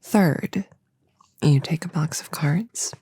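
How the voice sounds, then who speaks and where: A woman playing a friendly, slightly bumbling novice witch in a soft-spoken ASMR roleplay, breathy and often whispering, with a hesitant, casual way of talking. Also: sits low, slow pace, no echo, full character voice. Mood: musing, casual. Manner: hesitant, casual, self-correcting.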